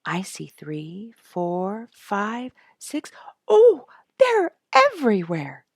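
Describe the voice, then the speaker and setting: A woman's voice: mid-range voice, huge pitch swings, drawn-out words, dry recording, clean studio sound, pitched above the performer's own voice, full character voice. A woman voicing a delighted, wide-eyed little girl in a read-aloud nature story, full of exclamations. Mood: excited wonder. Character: excited, delighted little girl on a wilderness outing.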